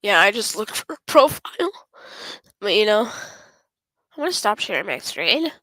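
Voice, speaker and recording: Hoarse female streamer, bright and lively but unhurried, rambling and apologetic. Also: medium pitch, no echo. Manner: rambling, apologetic, self-interrupting. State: hoarse, voice going raspy. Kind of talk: casual gameplay stream chat.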